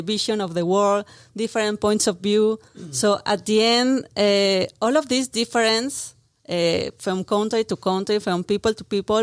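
A woman's voice: mid-range voice, no echo, clean studio sound. A woman in her thirties from Mexico City with a Mexican Spanish accent, earnestly answering interview questions with frequent restarts. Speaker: brand marketing manager, guest. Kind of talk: radio interview. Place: radio studio.

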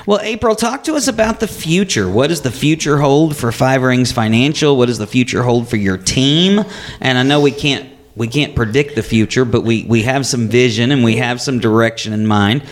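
A man hosting a business radio interview in a chatty, animated way, with a fairly high speaking voice for a man. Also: moderate pace. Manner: chatty, animated, frequent restarts. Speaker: radio show host. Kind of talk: casual interview.

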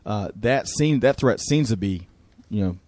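An upbeat, chatty man hosts a business radio talk show. His voice is low, and the recording is dry and close. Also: lively intonation, measured pace, a little mellow, no room sound. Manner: upbeat, chatty, uses y'all. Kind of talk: casual podcast chat.

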